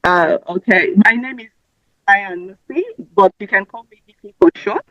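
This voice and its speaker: A woman's voice: mid-range voice, moderate pace, a crowd in the background. A woman, chatting as a podcast guest, talking in a rambling way with fillers and restarts. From Nigeria. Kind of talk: casual interview answers. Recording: slightly muffled dry audio.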